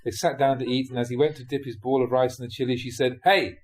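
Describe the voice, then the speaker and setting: A man reading Buddhist teaching stories aloud in the animated manner of a storyteller. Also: mid-range voice, fast talker. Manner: animated storyteller, acts out dialogue, often chuckles. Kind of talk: audiobook reading of dharma talk storytelling.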